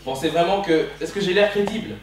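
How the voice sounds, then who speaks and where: A man's voice: sits high, a little fast, a little hiss or hum. A man in his twenties from Belgium preaching a passionate, emphatic sermon that leans on repeated phrases. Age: 20s. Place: church hall during a service. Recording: very echoey church hall, distant mic.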